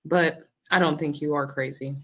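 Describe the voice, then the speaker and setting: A woman's voice: deep voice, fairly even intonation, moderate pace, fairly bright tone. A woman playing a caring, reassuring nurse on evening rounds in a dramatic table read.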